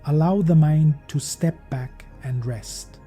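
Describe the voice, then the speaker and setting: A man narrating a guided meditation in a gentle, measured, soothing manner, as a podcast host leading listeners. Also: fairly high pitch. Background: meditation music.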